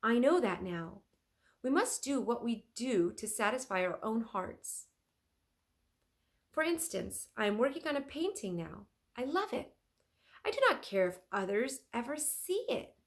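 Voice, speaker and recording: A woman voicing an excitable, dreamy older male inventor and artist in a children's storybook, dramatic and emphatic. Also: fairly high pitch, measured pace, voice pushed up. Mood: earnest, emphatic. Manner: emphatic, exclaiming. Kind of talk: children's storybook read-aloud.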